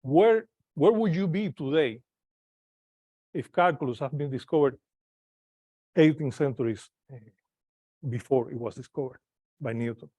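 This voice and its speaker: A man's voice: medium pitch, unhurried, pauses often, mellow, no echo. A man from Cuba giving an informal talk to a club audience, hesitant, with many fillers and restarts. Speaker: club presenter. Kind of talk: informal presentation to a live audience.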